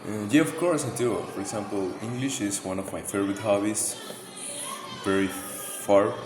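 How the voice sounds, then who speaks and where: Polite young Ecuadorian man, fairly low voice. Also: normal speed. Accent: Ecuadorian Spanish. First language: Spanish. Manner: fluent, polite, structured answers. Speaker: student exam candidate. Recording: echoey room with clear background noise.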